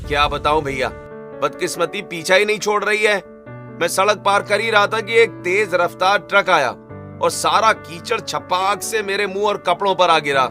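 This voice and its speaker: A man's voice: sits high, moderate pace, pushed and bright, faint music behind. A man voicing a weary, hungry young farmer brother who complains earnestly in a cartoon story. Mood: dejected. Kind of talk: Hindi cartoon story dialogue.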